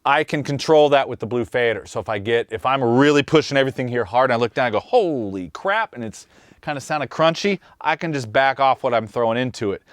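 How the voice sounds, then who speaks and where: A man's voice: medium pitch, lively intonation, brisk, no echo. A man from Kansas, the host and drummer, giving an easygoing, conversational walkthrough of how he mixed a track in his studio. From Kansas, USA. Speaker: host, mixing engineer and drummer. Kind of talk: casual studio tutorial. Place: recording studio control room.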